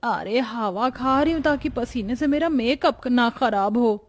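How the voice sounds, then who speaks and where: A woman's voice: fairly high pitch, measured pace. A woman playing a naive, thrifty young village bride in a comic cartoon moral story. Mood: fretful.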